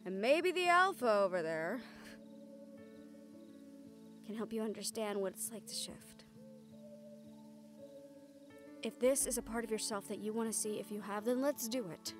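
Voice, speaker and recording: A fairly high female voice of an actress plays a caring supernatural mentor in an intimate audio roleplay. She speaks earnestly and tenderly, encouraging the listener closely. Soft music sits behind her. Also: expressive, normal speed, lightly acted. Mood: encouraging, wry.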